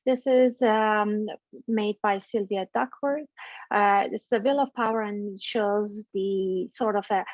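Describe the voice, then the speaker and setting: A woman facilitating a workshop, briskly introducing an exercise with some "um"s and "uh"s. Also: mid-range voice, fairly even intonation, no echo, highs cut off. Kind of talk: presenting a workshop.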